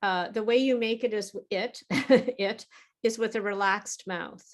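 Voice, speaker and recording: A woman's voice: mid-range voice, a little slow. A middle-aged woman teaching English pronunciation, speaking patiently and carefully drawing out the vowel sounds of her example words. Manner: patient, over-articulates target vowels for the learner. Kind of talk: slow, deliberate teaching with repeated example words.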